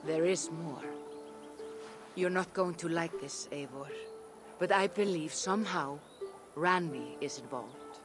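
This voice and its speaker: Grave Norse seeress, mystical, deliberate delivery, quietly insistent, video game drama, music in background. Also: female voice, sits low. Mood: grave, urgent. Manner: solemn, deliberate. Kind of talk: video game character acting.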